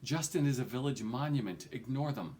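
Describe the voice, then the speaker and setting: A man's voice: mid-range voice, animated, brisk, mellow, lightly acted. A man voicing a cautious young village woman in a fantasy storybook, with an anxious manner. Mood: reassuring. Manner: pleading, anxious.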